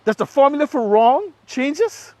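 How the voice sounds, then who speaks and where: High-pitched Bahamian man speaking out emphatically. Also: big pitch swings, measured pace, darkish tone, no room sound. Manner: emphatic, punctuated with rhetorical questions and repetition. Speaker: political commentator. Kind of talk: impassioned political monologue.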